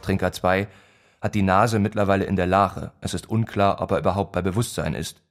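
A man narrating a literary radio play about village life in a sombre, measured, deliberate way. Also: low voice, steady tone, faint music behind. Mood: dry, detached.

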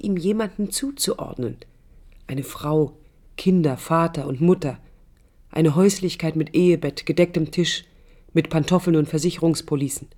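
A woman's voice: extremely low pitch, darkish tone, exaggerated voice. An actress playing a passionate, reflective young woman architect in a radio play, with a literary, introspective delivery. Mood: longing. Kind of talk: radio play inner monologue and dialogue. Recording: studio radio play with music.

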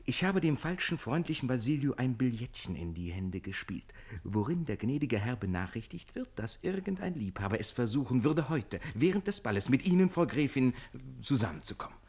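An actor playing a cheeky, exuberant manservant in a classic German radio play, theatrical, boastful and teasing. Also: male voice, medium pitch, sing-song, brisk, darkish tone, husky. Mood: sly scheming.

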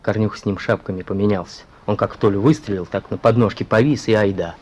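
A man acting a grim, plain-spoken policeman in a radio drama. His voice is low and husky, and he reports in a matter-of-fact, colloquial way. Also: fairly even intonation, fast, darkish tone, close to an everyday voice. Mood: grim, bitter. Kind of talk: Russian radio play acting.

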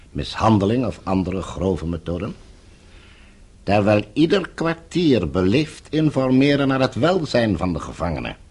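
An actor playing a falsely courteous, menacing captor and regime agent in a Dutch radio drama, speaking in measured, formal, condescending phrases. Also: male voice, deep voice, darkish tone, husky.

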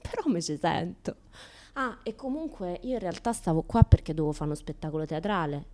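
Actress as a brash, animated Roman chatterbox. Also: female voice, fairly low pitch, brisk, lightly acted. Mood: chatty. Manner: colloquial, teasing, mimics other people in dialogue. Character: brash, chatty naked woman who turns up everywhere, unashamed. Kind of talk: comic theatrical monologue for radio.

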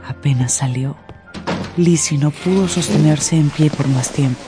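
A woman narrating a radio novel in an expressive, literary, scripted storytelling style. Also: low voice, a little slow, over music.

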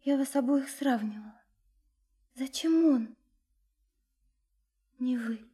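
An actress in a radio drama playing a sincere, lovesick young woman, soft and hesitant, often dropping into a breathy whisper. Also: high voice, takes it slowly, full character voice. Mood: earnest, confiding. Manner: hesitant, earnest, confiding. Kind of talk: intimate radio drama acting.

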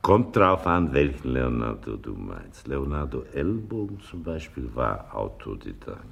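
An actor in a radio play playing a wry, patient, philosophical old man with a gravelly voice and a dry, musing, unhurried delivery. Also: a bass voice, big pitch swings, muffled recording, slight background noise, exaggerated voice. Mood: dry, teasing. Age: older. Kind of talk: 1980s German radio play acting.

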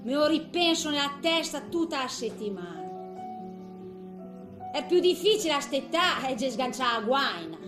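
A chatty old Ligurian woman in her eighties, with a high-pitched voice. She tells her story in a homely, tender way, full of small interjections, as in a stage monologue. The room rings around her and music is heard. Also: big pitch swings, moderate pace, roomy sound. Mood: tender, wistful. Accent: Ligurian Italian. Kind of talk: theatrical comic monologue.

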